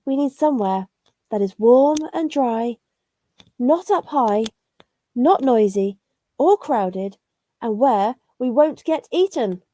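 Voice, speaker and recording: Polite little storybook worm played by a woman: high, soft-toned, sing-song, pleading for help. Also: animated, slow, long pauses, no room sound. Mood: politely pleading. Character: small homeless worms, polite and pleading, storybook.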